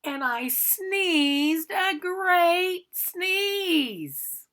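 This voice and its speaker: A woman's voice: very high voice, lively intonation, drawn-out words, a bit bright, voice pushed up, heavily acted. A woman voicing an aggrieved male big bad wolf, telling his own storybook tale in a chatty, theatrical way and protesting his innocence. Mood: self-justifying, dramatic. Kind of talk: animated storybook read-aloud.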